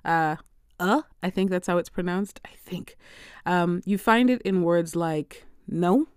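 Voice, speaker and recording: A woman hosting her own podcast, talking close to the mic in a dry, deadened room. Her voice is smooth and clear and sits a little low. She chats easily, laughs often and drops Portuguese phrases into her talk. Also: a little slow, no echo. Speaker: podcast host. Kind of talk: solo language-learning podcast.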